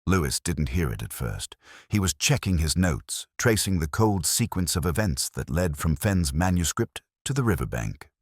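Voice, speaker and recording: Very deep, melancholy detective narrator, acted by a man. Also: sing-song, husky, no room sound, studio quality, exaggerated voice. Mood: brooding, measured. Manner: solemn, literary, deliberate phrasing. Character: melancholy, reflective male English police detective telling his case. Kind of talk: scripted first-person detective story narration.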